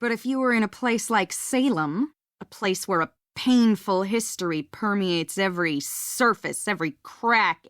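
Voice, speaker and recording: A woman voicing a mysterious, theatrical witch tour guide in a video game, speaking deliberately with an ominous manner. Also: mid-range voice, no echo, clean studio sound. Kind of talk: dramatic ghost-story narration, video game voice acting.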